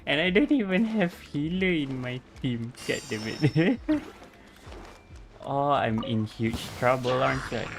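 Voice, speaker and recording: A man's voice: medium pitch, very animated, unhurried. A male streamer giving casual, laid-back commentary while he plays, laughing and swearing freely. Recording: game music behind.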